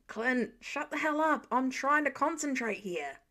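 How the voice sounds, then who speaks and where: Woman playing a riled-up, high-pitched male superhero. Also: animated, measured pace, sharp tone, exaggerated voice. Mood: irritated. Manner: irritated, snappy. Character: exasperated, competitive grown male superhero, easily riled.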